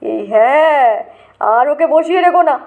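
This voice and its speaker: Woman as a hemming, evasive thirtyish man, high-pitched. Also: expressive, unhurried, phone-quality sound. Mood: ingratiating, evasive. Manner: hesitant, hemming, fawning. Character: obliging, evasive man around thirty, eternal exam-failer.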